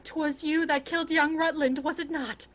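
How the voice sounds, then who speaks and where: A woman's voice: sits high, fairly even intonation, normal speed, a bit bright, slight background noise. A woman playing a venomous, vengeful young nobleman in a Shakespearean verse reading, with seething, emphatic declamation. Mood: seething rage. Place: remote reading over a video call.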